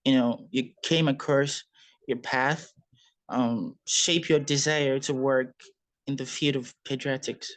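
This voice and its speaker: A man's voice: medium pitch, fairly even intonation, takes it slowly, fairly bright tone. A man hosting an interview, who encourages and praises his guest before he asks the next question. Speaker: podcast host.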